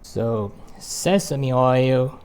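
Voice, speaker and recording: A man's voice: medium pitch, extremely slow, background noise. A man with a Malaysian accent hosting a cooking demonstration, explaining things step by step. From born in Hong Kong, grew up in Malaysia. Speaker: cooking show host. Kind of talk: recipe demonstration to camera.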